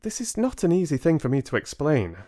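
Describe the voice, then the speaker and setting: A man's voice: a little high, sing-song, brisk, dark tone, voice pushed up. A man voicing a polite but firm elderly grandmother in a storybook, speaking delicately and insistently. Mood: careful, gentle.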